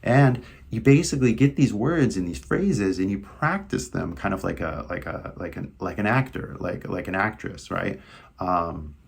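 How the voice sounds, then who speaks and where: A man's voice: sits low, lively intonation, normal speed, gravelly, breathy edge. A man teaching English in a casual video lesson, coaching with repetitive questions like "right?".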